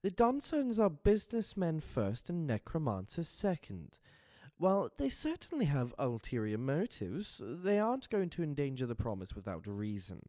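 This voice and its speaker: A man playing a shrewd, wary vampire spymaster in an audio drama, speaking formally and deliberately. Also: a little high, huge pitch swings, mellow, no room sound, studio quality. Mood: calculating.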